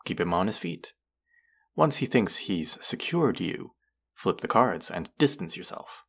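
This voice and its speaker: Casting: male actor as rude, smug college twin for audio roleplay; low voice, heavy fry, sardonic drawl. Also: big pitch swings, measured pace, fairly bright tone, full character voice. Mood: condescending, instructing. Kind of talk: scripted roleplay addressed to the listener.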